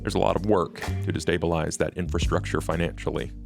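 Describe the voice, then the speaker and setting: A man voicing a shrewd, composed vampire financier in a tabletop roleplay, speaking in a measured, formal, understated way. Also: low-pitched, steady tone, creaky, music in the background. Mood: wry, cautious.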